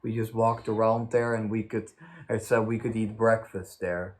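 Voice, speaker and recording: A young man with a fairly low voice tells casual stories. He talks haltingly and rambles, circling around his point as he goes. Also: little pitch movement. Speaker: host of a story time channel. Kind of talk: casual chat, anecdote telling.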